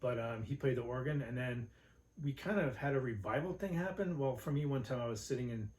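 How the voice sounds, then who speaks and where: A man's voice: mid-range voice, fairly quick, darkish tone. Easygoing man in an echoey room. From Los Angeles area, United States. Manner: easygoing, laughs often, trails off. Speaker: podcast co-host. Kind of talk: casual chat. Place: home room. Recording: roomy home recording with faint noise.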